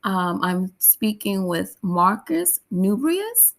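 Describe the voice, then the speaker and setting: A woman's voice: medium pitch, big pitch swings, takes it slowly, vocal fry. A woman hosting an interview show, chatty and upbeat, laughing often as she asks her questions. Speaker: podcast host and interviewer.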